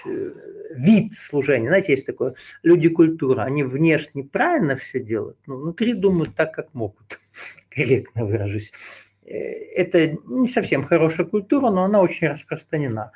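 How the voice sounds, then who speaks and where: A man leading a group session, pitched a little high for a man, speaking off the cuff in long, winding explanations. He hesitates with fillers and keeps circling back to restate his ideas. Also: darkish tone, slightly rough. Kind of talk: unscripted esoteric lecture.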